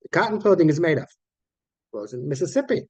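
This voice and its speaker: A man teaching an online class in an informal lecture style, explaining things haltingly and often correcting himself mid-sentence. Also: on the high side, very animated, a little mellow, dry recording. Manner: halting, explanatory, with repeated phrases and self-corrections. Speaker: online course teacher of academic English writing.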